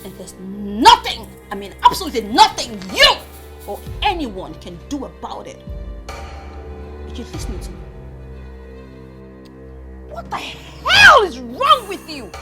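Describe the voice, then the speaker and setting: An actress playing a defiant, indignant young wife in a palace drama, confrontational and emphatic. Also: female voice, mid-range voice, wildly swooping pitch, moderate pace, fairly bright tone, faint music behind. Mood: furious. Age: young adult. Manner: confrontational, emphatic repeated retorts. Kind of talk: heated film drama acting.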